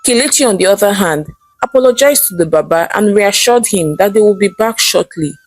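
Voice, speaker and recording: A Nigerian woman narrates a folktale with a lively, swinging pitch. She is expressive and theatrical in her storytelling. Soft music plays underneath her voice. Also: brisk, no room sound, rough recording. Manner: expressive, acts out characters' dialogue.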